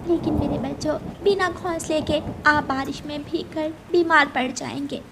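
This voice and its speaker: A woman's voice: sits high, steady tone, moderate pace, gravelly, very noisy. A woman voicing a protective, worried mother bird, earnest and motherly, in a children's cartoon. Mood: worried, tender.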